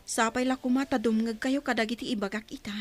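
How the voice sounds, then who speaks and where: Woman playing a high-voiced, pleading chieftain's child. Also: fairly even intonation, quick pace, close to an everyday voice. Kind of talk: Ilocano radio drama acting.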